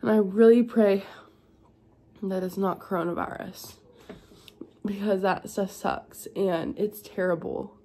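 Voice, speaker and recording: A young woman, hoarse and congested from being sick, chatting tiredly in a home vlog. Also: steady tone, unhurried, pauses often, warm tone, a little hiss or hum. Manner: tired, low-energy, chatty. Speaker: vlogger. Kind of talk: casual vlog chat. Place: at home indoors.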